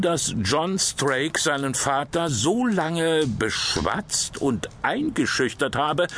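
A male actor playing a sober official who briefs a priest on a case in an audio drama, measured and slightly hesitant. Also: mid-range voice, a bit bright, slight background noise. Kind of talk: German audio drama dialogue.

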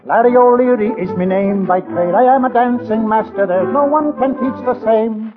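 A jaunty dancing master played by a man performs stage patter in a high voice. Loud music plays along. It has the thin sound of a 1940s radio play. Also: normal speed, phone-quality sound, lightly acted.

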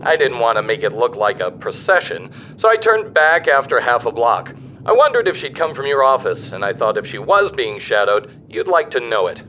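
An actor playing an easygoing, slangy male private detective in a radio drama, telling things in an animated way through a telephone filter. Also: medium pitch, fairly quick. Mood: jaunty reporting. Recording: thin phone line, faint noise.

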